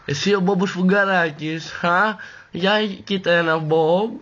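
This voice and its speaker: A vain, foul-mouthed, sassy woman played by a man in a homemade parody dub. The voice sits fairly high and swoops in a campy, exclaiming way. The sound is muffled, with some noise behind it. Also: steady tone, fairly bright tone. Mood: mocking, sassy. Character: sassy, vulgar, vain female character in a parody. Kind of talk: amateur comic parody dub. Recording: muffled, noisy home recording.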